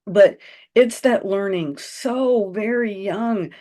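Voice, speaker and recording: A woman's voice: mid-range voice, slow pace, mellow. A woman co-hosting a podcast, a mother speaking in an earnest, heartfelt way. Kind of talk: candid conversational chat.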